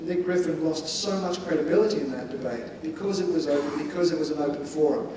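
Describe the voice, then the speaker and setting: Guy on a panel explaining his view, soft-edged voice, kinda far from the mic, big echo. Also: on the high side, quick pace. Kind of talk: considered, discursive panel answer. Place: large indoor conference hall. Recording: echoey hall, distant mic, faint noise.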